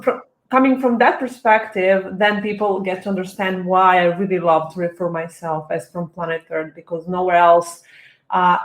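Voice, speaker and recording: Woman in an echoey room. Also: fairly low pitch. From Serbia. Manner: earnest, restarts often, upbeat. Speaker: guest, event organizer. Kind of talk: casual interview talk.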